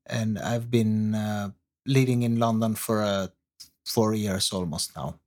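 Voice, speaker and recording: A man with an Italian accent and Italian as his first language, a guest on a podcast interview, answering in halting English as he searches for words. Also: on the low side, steady tone, a little mellow. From Italy. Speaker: guest composer.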